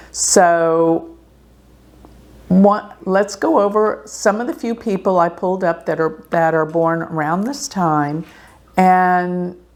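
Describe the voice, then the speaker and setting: A woman with a lowish, gravelly voice speaks slowly and earnestly as an astrologer warning her audience. Her talk is unhurried, with occasional throat clearing. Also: a little mellow. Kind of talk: casual talk to camera.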